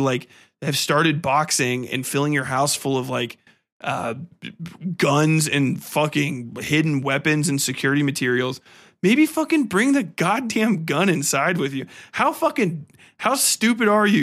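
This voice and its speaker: A man's voice: a little high, fairly bright tone. A man hosting a solo podcast review, ranting casually with heavy swearing, slang and exasperated sarcasm. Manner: profane, irreverent, slangy. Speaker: podcast host reviewing a film.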